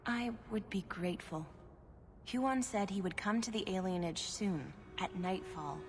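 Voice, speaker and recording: An actress playing a timid, sad elven seamstress in a video game, speaking hesitantly and deferentially. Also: female voice, mid-range voice, measured pace, close to an everyday voice. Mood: anxious, pleading. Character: timid, sorrowful elven seamstress, polite abandoned wife.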